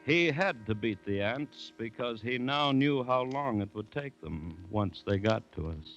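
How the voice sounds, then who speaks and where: An actor playing an anxious, grave commissioner who recounts a jungle siege in a dramatic 1940s radio style. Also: male voice, mid-range voice, expressive, lo-fi sound. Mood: grave, suspenseful. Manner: dramatic, measured storytelling with tense dialogue. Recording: vintage 1940s radio recording, loud music.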